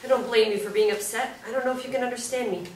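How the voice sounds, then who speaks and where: An actress playing a sympathetic hospital nurse in a stage play reading, kindly and concerned. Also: female voice, on the high side, steady tone, very fast, a little mellow, close to an everyday voice. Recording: roomy distant recording with faint noise.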